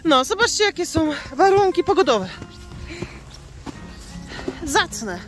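A young woman from Poland chatting to her viewers on a night ski slope. She jokes and talks excitably into a phone held at arm's length. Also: very high voice, slow, faint music behind. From Kalisz, Poland. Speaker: vlogger. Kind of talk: casual vlog chat to viewers. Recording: noisy outdoor phone audio, wind and snow.

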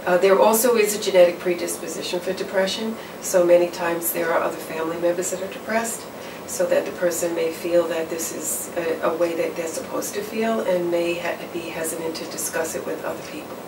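A middle-aged woman answering interview questions as a campus counselor, measured and explanatory, with frequent fillers and restarts. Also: on the low side, steady tone. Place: office or studio in front of a backdrop. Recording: roomy, distant mic with background noise.

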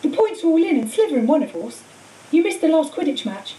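Storybook part: woman as blunt chatty lad, breezy, animated swoops, echoing room. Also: high voice, a little fast, voice pushed up. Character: blunt, chatty, loyal schoolboy friend. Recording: hissy, roomy, distant microphone.

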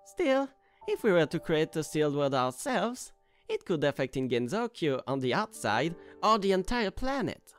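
A man's voice: a little high, big pitch swings, moderate pace, faint music behind. A man voicing a sly, flippant old deity who schemes and teases, in an amateur comic dub. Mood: scheming.

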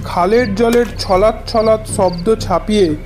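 A grandfatherly old forest officer acted by a man tells a horror story for a cartoon. He speaks slowly and conversationally in a high-pitched voice. Music plays underneath. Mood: measured, suspenseful. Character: grandfatherly retired forest officer telling his ghost adventure. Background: background music.

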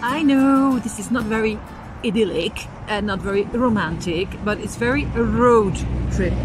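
A woman's voice: mid-range voice, normal speed, distant mic, over music. A woman in her forties vlogging casually from her car and a roadside rest stop, chatty, upbeat and a little self-mocking. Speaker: travel vlogger. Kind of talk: casual road trip vlog. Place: inside a car, later outdoors at a rest stop. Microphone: camera on the dashboard at arm's length. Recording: noisy car and roadside sound, mic set back. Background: road and engine noise.

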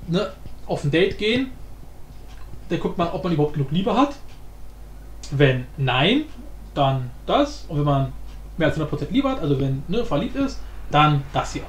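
A man's voice: fairly high pitch, very animated, normal speed, long pauses. A man hosting a casual screencast tutorial, thinking aloud in an informal, improvised way. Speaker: tutorial host. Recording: roomy echo, faint background noise.

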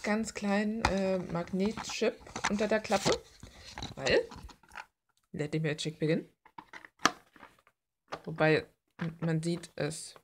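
A woman's voice: medium pitch, background noise. A young adult woman rambling casually in an informal, playful, slangy way, like someone showing her hobby on a vlog. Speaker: hobby artist and video maker.

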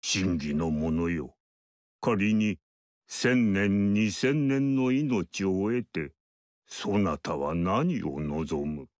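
An ageless mystic sage in a video game, calm and aloof, speaking in measured, archaic and lofty phrases. His voice sits low and unhurried, and the recording is dry and close. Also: no room sound, full character voice. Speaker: game character. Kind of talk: game dialogue acting.

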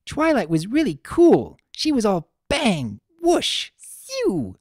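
A narrator voicing a hyperactive, excitable young female cartoon pony, bouncy and exclamatory. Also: medium pitch, takes it slowly, no room sound, studio quality, voice pushed up, exaggerated voice. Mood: excited.

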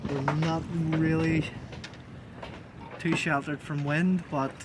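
A man's voice: a little high, slow pace. A man, chatting casually to a handheld camera while sailing a small boat. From Northern Ireland. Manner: relaxed, informal, chatty, with easy fillers. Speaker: sailing vlogger. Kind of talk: casual on-board narration. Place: outdoors on a small sailboat and in its cabin. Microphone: action camera held close. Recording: windy outdoor camera audio. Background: wind and water noise.

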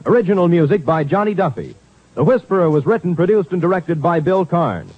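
A man's voice: a little high, animated, fairly quick, breathy edge. A man announcing scripted credits in the style of 1950s radio. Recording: dull lo-fi old radio recording.

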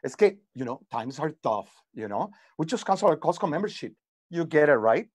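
A tight-fisted older lady boss in a comedy script. She wheedles with big swoops of pitch, sweetly coaxing and sprinkling Spanish into her English. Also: sing-song, a little fast, darkish tone, dry recording. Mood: wheedling. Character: wheedling, stingy older female boss pleading hard times. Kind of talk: live table read of a TV comedy script.

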